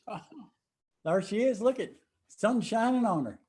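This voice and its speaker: A gentle country brother from the hills, played by an actor, with a fairly high male voice. He is soothing and plain-spoken with a Southern US accent, in a stage drama style. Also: lively intonation, measured pace, breathy edge, exaggerated voice. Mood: nostalgic. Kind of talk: stage play acting.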